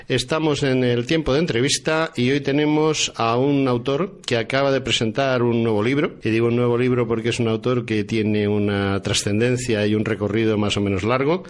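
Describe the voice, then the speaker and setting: A man with a Spanish accent from Spain hosting a radio interview in a long-winded, halting, conversational way. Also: medium pitch. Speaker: radio interviewer presenting books.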